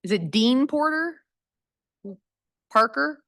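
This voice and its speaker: A woman chairing a public commission meeting, moving things along in a light, joking, brisk way. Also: high-pitched, animated, fairly bright tone.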